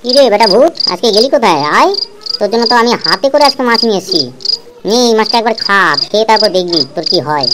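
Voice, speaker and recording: A woman's voice: medium pitch, expressive, fast talker, heavy background noise, natural voice. A woman voicing an aggrieved, scheming village father in a cartoon, talking in a chatty, wheedling way. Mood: taunting. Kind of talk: cartoon voice acting.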